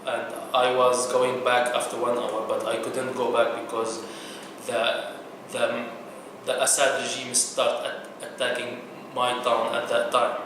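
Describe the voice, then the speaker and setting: A man from Syria with a Syrian Arabic accent, speaking English in a halting way as he searches for words. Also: medium pitch, completely flat delivery. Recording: echoey room, distant mic, noise.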